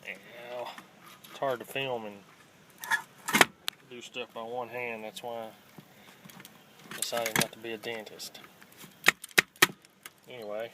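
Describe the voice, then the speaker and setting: Flat-toned man, halting how-to talk. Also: medium pitch. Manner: halting, plainspoken, unscripted. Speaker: host of a scrapping how-to channel. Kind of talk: casual hands-on how-to demonstration. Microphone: handheld phone, filming one-handed. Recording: home phone video with faint background noise.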